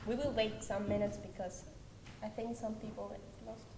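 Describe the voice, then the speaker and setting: A woman's voice: medium pitch, flat delivery, normal speed. A woman from Germany casually welcoming people and chatting as the organiser of a group discussion. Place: echoey indoor room. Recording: echoey room, loud noise, clicks.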